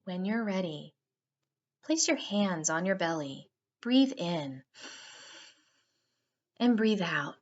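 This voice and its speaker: Slow-paced woman guiding a kids' meditation. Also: on the low side, animated, highs cut off. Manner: soothing, unhurried, with drawn-out phrasing. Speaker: guided meditation host for children. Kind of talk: slow guided meditation narration for kids.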